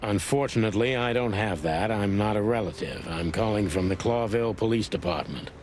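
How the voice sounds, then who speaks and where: A man's voice: on the low side, a little fast, darkish tone, a little hiss or hum, lightly acted. A man playing a cynical, world-weary old rooster police detective in a noir game, dry and brooding. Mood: hesitant, polite. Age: older. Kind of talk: film noir game voice acting. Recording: music behind the voice.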